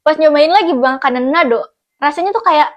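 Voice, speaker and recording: A woman from North Sulawesi sits in as a guest for a relaxed chat. She speaks in a high voice, easygoing and plain, now and then pausing to find her words. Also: dull audio. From North Sulawesi, Indonesia. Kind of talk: casual chat.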